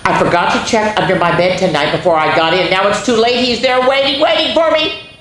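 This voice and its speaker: A woman's voice: medium pitch, a little fast, a bit bright, echoey room, natural voice. A woman voicing a frightened, dramatic young schoolboy from a storybook, with exclaiming, breathless delivery. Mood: scared, urgent.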